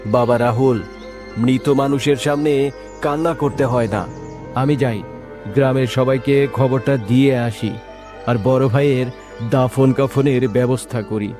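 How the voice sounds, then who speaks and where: A man voicing a kind, protective farmer uncle in a children's cartoon, gentle but firm. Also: medium pitch, steady tone, a little slow, soft background music, lightly acted. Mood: solemn.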